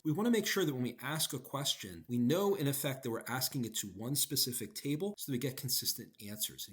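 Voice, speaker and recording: A man presents a screen-recorded software tutorial. His mid-pitched voice rises and falls a lot as he explains each step, leaning on key words.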